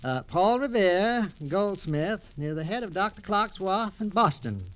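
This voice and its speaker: A chatty, lively-voiced townsman. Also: high-pitched, measured pace, clear, resonant tone. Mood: eager chatty. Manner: rambling, eager, fumbling. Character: chatty, helpful male Lexington townsman. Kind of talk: 1940s radio acting. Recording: dull old radio recording.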